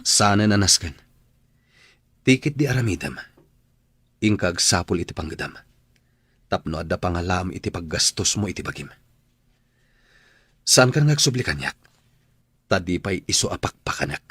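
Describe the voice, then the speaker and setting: An actor playing a stern, bitter husband in an Ilocano radio drama, speaking bluntly and accusingly in a husky Ilocano-accented voice. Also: male voice, low-pitched, very animated, normal speed, long pauses, fairly bright tone, dry recording, heavily acted. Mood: angry, dismissive. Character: bitter, stern husband throwing out his estranged wife.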